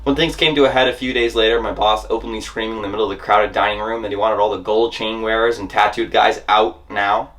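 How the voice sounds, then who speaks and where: A man's voice: on the low side, steady tone, fast talker, hardly any pauses, fairly bright tone. Man performing a dramatic first-person monologue. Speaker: dramatic reader of a memoir.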